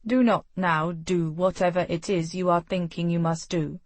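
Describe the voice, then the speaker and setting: A woman voicing a calm, protective young nobleman who speaks firmly and steadily in an audiobook. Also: sits high, dry recording. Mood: urgent. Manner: calm, firm and measured.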